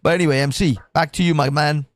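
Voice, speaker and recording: A man hosting a livestream, with a croaky voice, swearing and rambling with many restarts. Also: fairly high pitch, steady tone, fast, no room sound. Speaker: livestream host. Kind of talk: casual livestream chat.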